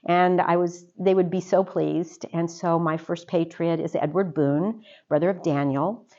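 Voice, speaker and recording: A woman's voice: fairly low pitch, steady tone, a little slow, highs cut off. A woman guest in an interview, telling her own history in a chatty way with fillers. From United States. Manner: warm, chatty storytelling with fillers. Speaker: interview guest, organization officer. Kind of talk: casual interview answers.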